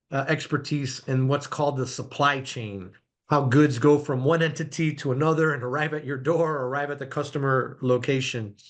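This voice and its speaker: Male podcast host, mid pitch, effusive intro voice, repeats himself for emphasis.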